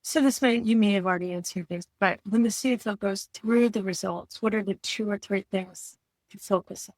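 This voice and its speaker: A woman's voice: medium pitch, brisk, darkish tone, no room sound. Female interviewer, mid-range voice, conversational questioning, close dry studio-like sound.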